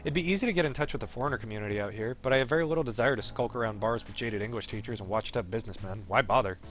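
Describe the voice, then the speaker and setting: Bright-voiced man narrating a game on stream. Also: on the low side, very fast, soft background music. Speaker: streamer reading a game aloud. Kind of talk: live playthrough, narrating text with casual asides.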